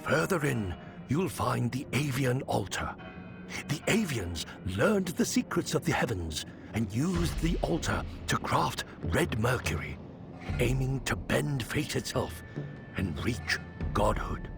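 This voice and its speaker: An actor playing a sly shapeshifting deity posing as a wandering bard in a video game, speaking in a theatrical, cryptic, teasing manner. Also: male voice, mid-range voice, big pitch swings, slow pace, vocal fry, over music, full character voice. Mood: solemn, cryptic. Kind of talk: scripted video game dialogue acting.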